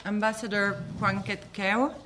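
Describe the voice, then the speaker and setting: A woman's voice: mid-range voice, fairly even intonation, measured pace. A woman opening a press briefing, speaking politely and formally with some fillers. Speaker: press officer. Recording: noisy room with clicks.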